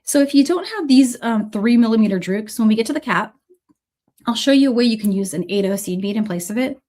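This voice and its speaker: Woman with a cold doing a chatty beading livestream, slightly high voice, greets viewers by name. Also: lively intonation, a little fast, mellow. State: has a cold, coughing. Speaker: beading instructor hosting a livestream class. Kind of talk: casual livestream tutorial.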